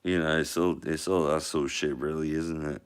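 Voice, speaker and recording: A man hosting a casual live stream in a monotone voice, with many trailing fillers. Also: rumbling low, moderate pace, smooth. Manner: rolling a cigarette while talking. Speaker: livestream host.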